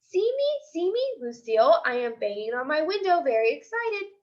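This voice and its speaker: A woman voicing an excitable, opinionated little kindergarten girl in a children's chapter book, childlike and dramatic. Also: high-pitched, normal speed, muffled recording. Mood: excited, shouting.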